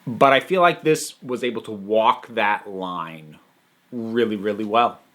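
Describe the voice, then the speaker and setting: Bright-voiced man giving an emphatic casual review. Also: fairly low pitch. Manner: emphatic, halting, digressive, thinking aloud. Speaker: host reviewing audio dramas. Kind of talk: casual unscripted review commentary.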